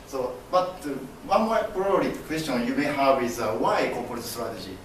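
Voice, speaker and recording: A young man from Japan with a Japanese accent gives a class presentation as a business student, halting and filling pauses as he explains. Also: mid-range voice, lively intonation, slight background noise. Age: young adult. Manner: halting, many fillers and restarts, gestures broadly. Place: classroom with projector screen. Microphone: far camera. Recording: echoey classroom from far off.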